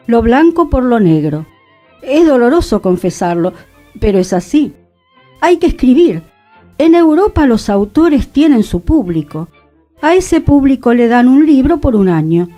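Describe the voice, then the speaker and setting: Expressive woman reading aloud, mellow voice. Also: sits high, a little slow, soft background music. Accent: Rioplatense Spanish. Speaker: radio host. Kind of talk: reading a literary essay aloud. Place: community radio studio.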